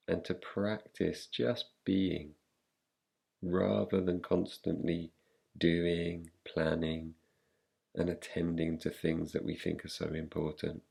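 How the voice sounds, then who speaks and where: Deep, slow, gravelly male meditation guide. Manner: calm, unhurried, soothing delivery with long pauses. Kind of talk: guided relaxation, yoga nidra meditation.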